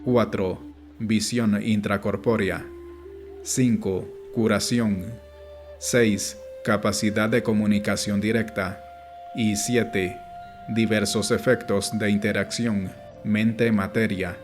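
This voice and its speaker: A man reading an audiobook in a measured, explanatory way, as scripted narration. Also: fairly low pitch, fairly even intonation. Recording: music playing behind the voice. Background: background music.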